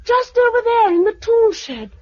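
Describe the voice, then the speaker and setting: A woman's voice: very high-pitched, sing-song, normal speed. An actress playing a brave, matter-of-fact nine-year-old English girl in a 1950s radio drama, earnest and plain-spoken. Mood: sad, matter-of-fact. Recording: muffled vintage radio recording.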